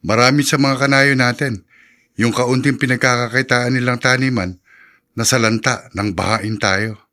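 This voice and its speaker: Radio drama role: respectful young man, played by a man, mid-range voice, gently reasoning. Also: medium pitch, fairly even intonation, moderate pace, lightly acted. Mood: sympathetic. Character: kind, respectful young grandson.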